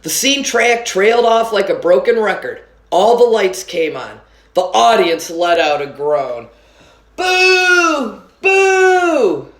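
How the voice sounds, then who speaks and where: A woman reading a children's book aloud with an expressive storytelling manner. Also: fairly low pitch, unhurried, echoey room. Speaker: story reader.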